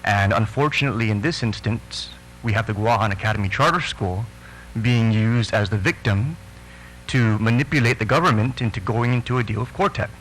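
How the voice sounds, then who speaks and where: A man with a slightly low voice addresses a public hearing as a politician. A faint noise and hum sit behind his words. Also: brisk, fairly bright tone, poor audio. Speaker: senator.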